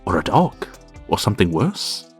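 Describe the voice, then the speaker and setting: Grumbly proper little army sergeant guy man acting, kinda low, lots of up and down, music faintly behind. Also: very animated, normal speed, breathy edge, heavily acted. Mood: grumbling. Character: stuffy tiny Lilliputian mounted police sergeant. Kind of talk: comic dub character acting.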